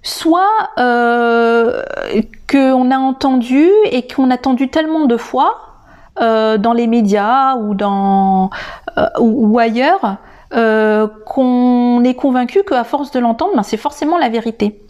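A woman talks to her listeners in an unscripted podcast lesson. She speaks slowly, in a fairly high voice. She often stops to hesitate and restart. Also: darkish tone, muffled recording. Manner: hesitant, many filler sounds and restarts; addresses the listener directly and informally. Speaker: English teacher and podcast host.

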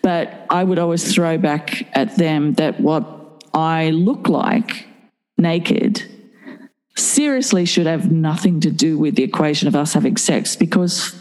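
A woman's voice: low-pitched, unhurried, a little mellow, in a large hall, rough recording. A woman in her fifties talking frankly and bluntly in a candid interview, swearing casually. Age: 50s.

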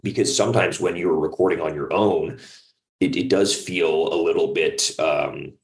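A man with a very low voice chats as an interview guest. He is a voice actor who explains his work conversationally, often tagging thoughts with a questioning check for agreement. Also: fairly even intonation, normal speed. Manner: conversational, seeks agreement with "right?". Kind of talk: casual interview chat.

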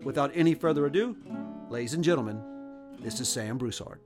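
A man's voice: mid-range voice, animated. Earnest male podcast host over guitar. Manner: measured, earnest storytelling. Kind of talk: scripted narration. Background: soft guitar music.

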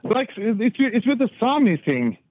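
A man's voice: very high-pitched, big pitch swings, unhurried, no room sound. A middle-aged man with a Norwegian accent, a guest speaking candidly and plainly, repeating words as he thinks. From Norway. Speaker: podcast guest. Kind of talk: casual conversation. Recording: tinny phone-line sound.

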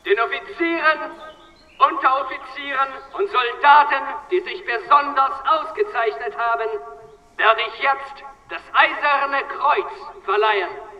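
An actor playing a pompous German army general who declaims a formal speech in an audio drama. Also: male voice, extremely high pitch, unhurried, faint music behind. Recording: distant, muffled, echoing open-hall sound.

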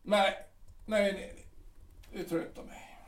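A man's voice: sits high, fairly even intonation, recorded from a distance, lightly acted. A man playing a bitter, aging artillery captain who grumbles and hesitates with many ums, in an audio drama. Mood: grumbling. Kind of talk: Swedish audio drama acting. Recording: roomy, off-mic sound.

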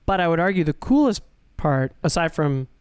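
A man's voice: on the high side, big pitch swings, normal speed, darkish tone, clean tone, no echo. A man co-hosting a podcast, telling stories casually in a laid-back, slangy way. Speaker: podcast co-host. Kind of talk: casual storytelling.

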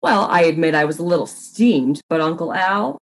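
A woman's voice: lowish voice, animated, moderate pace, pitched below the performer's own voice. A woman playing a flippant, defensive nephew under suspicion in a comic murder mystery reading, talking in a dry, offhand way.

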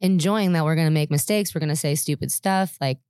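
A woman hosting a podcast, talking in a relaxed, candid and casual way with a close friend. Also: deep voice, fast talker, clean tone, no echo. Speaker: podcast host. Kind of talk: casual chat between friends.

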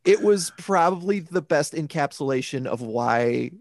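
A man co-hosting a casual podcast, upbeat and quick to laugh. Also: a little high, lively intonation, no room sound, clean studio sound. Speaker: podcast co-host. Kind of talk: casual chat.

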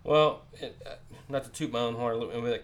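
A man's voice: mid-range voice, steady tone, moderate pace. Man, sports podcast co-host, confident, stat-heavy, casual. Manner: confident, rattles off stats, jokes with co-hosts.

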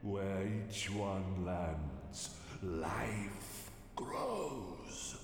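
Audio drama casting: solemn alien-cult preacher, deep incantatory delivery with swooping emphasis, music behind. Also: male voice, big pitch swings, heavily acted. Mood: solemn, reverent. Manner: slow, incantatory. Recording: echoey cavern reverb, music behind.

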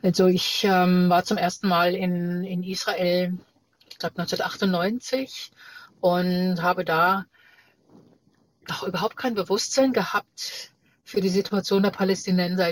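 A middle-aged or older woman from Germany recounting personal experiences as an interview guest, reflectively and haltingly, searching for words. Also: on the low side, steady tone, low-quality recording. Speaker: interview guest, journalist and activist.

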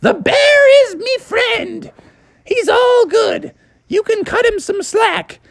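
A man voicing a cheerful, old-fashioned male groundhog who talks in a high, slow, folksy way. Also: lively intonation, gravelly, no echo, heavily acted.

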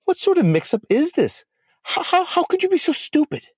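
A man voicing a vain, haughty female demon with a shrill, indignant manner. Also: sing-song, moderate pace, darkish tone, dry recording, studio quality. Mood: outraged.

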